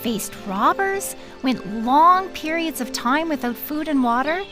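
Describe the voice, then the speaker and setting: A woman's voice: sits high, measured pace, music in the background. A woman playing an upbeat, kindly park ranger who tells stories and explains in a children's audio drama. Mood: animated. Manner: animated, explanatory.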